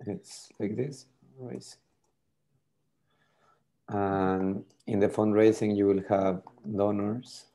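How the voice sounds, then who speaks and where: Middle-aged French-native man on a video call. Lowish, mellow voice, halting delivery with repeated words. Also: flat delivery. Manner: hesitant, repeats words while thinking. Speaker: tool demonstrator. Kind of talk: casual video call demo.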